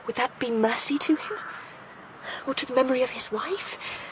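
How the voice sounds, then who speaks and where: An actress playing a resolute, compassionate Victorian wife in a radio drama, with measured delivery. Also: female voice, sits high, noisy background, close to an everyday voice. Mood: sombre. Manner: measured, often hushed and tender. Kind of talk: period radio drama acting.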